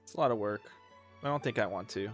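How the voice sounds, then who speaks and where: A man's voice: on the low side, quick pace, music in the background. A young man giving casual, rambling, jokey commentary as a game streamer.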